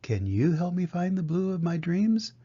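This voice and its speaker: A man's voice: sits high, big pitch swings, normal speed, mellow, breathy edge, pitched above the performer's own voice, exaggerated voice. A man voicing a dreamy, earnest little boy from a storybook, with wistful, rhythmic pleading. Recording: dull lo-fi home recording.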